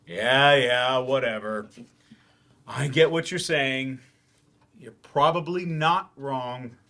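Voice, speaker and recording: A man's voice: mid-range voice, slow pace, bright, forceful tone. A male actor in a staged play reading, playing a frustrated, defensive therapy patient who talks in a hesitant, grudging way. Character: defensive, frustrated man in therapy, once-promising writer turned teacher.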